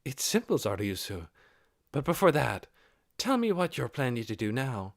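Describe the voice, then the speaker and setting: A man's voice: mid-range voice, big pitch swings, normal speed, no room sound, studio quality, voice pushed up. A man voicing a young female lizardfolk chief for an audiobook, polite, earnest and cheerful in manner. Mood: brisk, friendly. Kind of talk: audiobook character acting.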